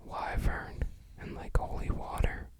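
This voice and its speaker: This is a soft, breath-only whisper from an ASMR host. The delivery is casual and musing, like unhurried unboxing commentary. Remarks come out tentatively, as quiet thinking aloud.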